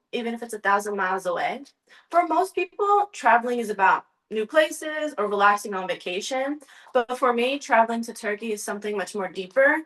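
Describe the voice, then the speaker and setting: Young female student presenter, slightly high voice, sincere, slide-based talk. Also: fairly bright tone, rough recording. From United States. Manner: earnest, occasional restarts and ums.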